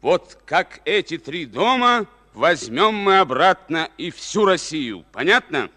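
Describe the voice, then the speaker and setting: An actor playing a resolute, earnest Soviet army captain in a declamatory, emphatic mid-century radio drama style. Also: male voice, on the high side, very animated, takes it slowly, exaggerated voice. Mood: rousing, fervent. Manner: declamatory, emphatic, swinging between hushed and ringing.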